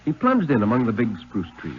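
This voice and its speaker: Expressive American storyteller on muffled old radio. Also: male voice, mid-range voice, slight background noise. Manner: solemn, deliberate, expressive phrasing. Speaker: storyteller, dramatic reader. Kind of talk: 1950s radio dramatic reading of fiction. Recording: muffled 1950s radio recording.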